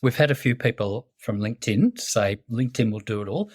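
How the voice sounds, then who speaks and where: A man's voice: medium pitch, fairly quick, breathy edge, no echo, studio quality. A man hosting a podcast interview, welcoming his guest with enthusiastic, effusive praise. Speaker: podcast host.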